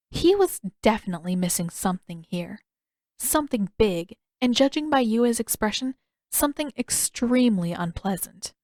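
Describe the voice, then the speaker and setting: A woman reading a story aloud as narrator in an expressive, scripted style. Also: medium pitch, dry recording.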